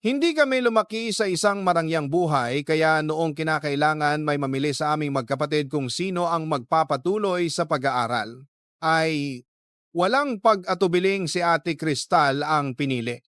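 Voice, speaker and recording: A man's voice: on the high side, normal speed, fairly bright tone, dry recording, studio quality. A man reading a listener's letter aloud as dramatic story narration, as the host of a radio drama programme.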